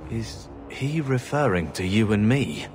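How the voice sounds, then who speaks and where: An actor playing an earnest, protective young male warrior in a video game, dry and resolute with a husky voice. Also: lowish voice, animated, a little slow, darkish tone. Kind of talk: video game character acting.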